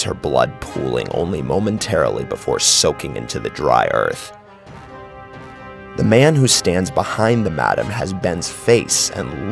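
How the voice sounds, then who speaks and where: Very deep, gravelly male horror narrator. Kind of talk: dramatic scripted horror narration. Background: clear music.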